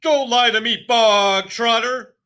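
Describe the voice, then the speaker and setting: A man voicing a bellowing, tyrannical headmistress who booms insults, in a storybook reading. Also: slow, smooth, full character voice. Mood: furious. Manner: booming, shouting insults.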